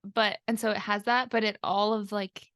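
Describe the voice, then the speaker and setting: A woman's voice: medium pitch, level tone, moderate pace, a bit bright, no echo, clean studio sound. A woman co-hosting a podcast in an informal, conversational way. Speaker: podcast co-host. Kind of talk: casual chat.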